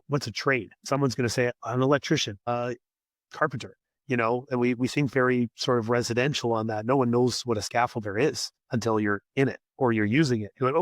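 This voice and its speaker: A Canadian man being interviewed on a podcast, raspy as he loses his voice, thinking aloud with repeated words. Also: mid-range voice, no echo. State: losing his voice. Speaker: podcast guest, scaffolding company executive. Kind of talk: conversational interview.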